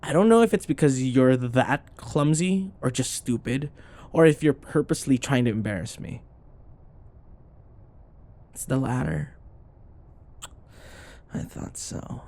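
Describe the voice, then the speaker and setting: A man's voice: mid-range voice, expressive, measured pace, pauses often, a little mellow. A man playing a sarcastic, irritable office rival who taunts and scoffs, in a scripted boyfriend audio roleplay. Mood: irritated. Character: snarky, irritable male office rival, teasing and combative.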